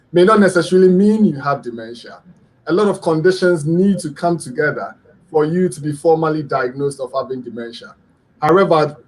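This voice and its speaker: A middle-aged man from Ghana speaks as a guest expert on a radio health talk. His voice sits a little high for a man, and he explains things earnestly, with many ums and restarts. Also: darkish tone, low-quality recording.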